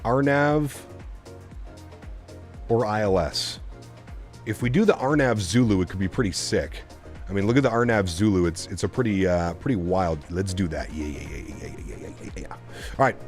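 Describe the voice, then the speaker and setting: A man streaming a flight simulator, thinking aloud in a casual, chatty way and asking his viewers for their opinions. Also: low-pitched. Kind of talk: casual live-stream commentary while programming a flight computer.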